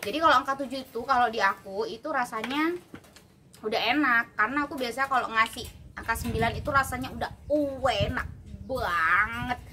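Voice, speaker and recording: A young woman from Indonesia, reviewing food in a relaxed, chatty way, with many fillers and tasting hums. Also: a little high, fairly quick. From Java, Indonesia. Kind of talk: casual food review vlog. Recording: noisy home recording. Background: clear background noise and clicks.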